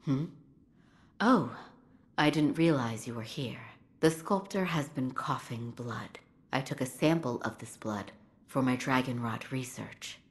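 An actress playing a calm, studious physician in a feudal fantasy video game, measured, soft-spoken and serious. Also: female voice, extremely low pitch.

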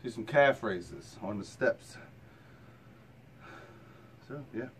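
A man in his thirties speaks slowly and casually, sounding tired from exercise. His talk is plain and colloquial, in the style of a home workout vlog, picked up by a camera mic with faint background noise. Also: medium pitch, fairly bright tone. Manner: plain-spoken, colloquial, mild swearing. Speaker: fitness vlogger. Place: rooms at home and a home gym. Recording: noisy handheld camera audio with ambience.

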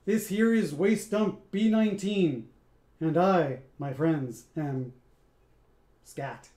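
A smug alien band boss who drawls every line with lazy mockery, as a man reading a storybook puts him on. The voice sits fairly high and moves slowly. It rings out in a roomy, echoey space. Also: mellow. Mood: mocking. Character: cool mocking male alien band boss. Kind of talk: picture book read aloud.

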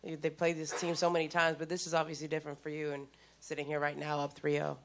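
A woman, a sports reporter, asking a quick, rambling question at a press conference. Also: low voice, monotone, slight background noise.

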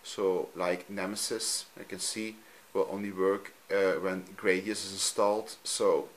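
A man from Belgium, a native Dutch speaker with a Dutch accent, talking off camera in a halting, simple way while he films his TV screen at home. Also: sits low, level tone. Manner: halting, frequent ums, simple non-native phrasing. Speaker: hobbyist youtuber. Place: room at home, filming a TV screen.

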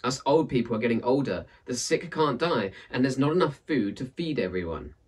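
A man's voice: medium pitch, expressive, brisk, husky, distant mic, voice pushed up. A man voicing worried village folk pleading in a storybook, earnest and emphatic. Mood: humble pleading.

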